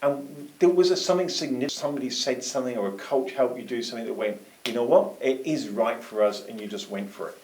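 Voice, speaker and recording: A man hosting a casual business interview, upbeat and quick to laugh, often restarting his questions. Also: medium pitch, a little mellow. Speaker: interview host. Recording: echoey room.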